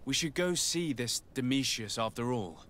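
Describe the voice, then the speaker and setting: An actor playing a measured, resolute adventurer hero in a video game. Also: male voice, medium pitch, expressive, fairly bright tone, a little hiss or hum, lightly acted. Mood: resolute, concerned.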